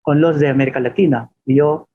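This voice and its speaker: A man from the Philippines, giving an informal lecture as a professor and telling anecdotes in a halting, self-deprecating way. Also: on the high side, fairly even intonation, dull audio, a little hiss or hum.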